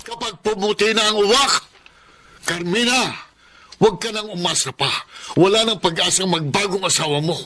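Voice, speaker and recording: A stern older Filipino father in a radio drama. The voice is high and sharp-edged. He scolds emphatically, protective and fed up. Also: moderate pace. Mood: exasperated scolding.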